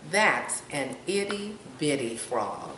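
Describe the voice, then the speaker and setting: A woman's voice: low-pitched, very animated, takes it slowly, a bit bright. An older woman reading a children's picture book aloud, pointing out pictures and putting questions to young listeners. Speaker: storytime reader. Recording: roomy, distant mic, faint noise.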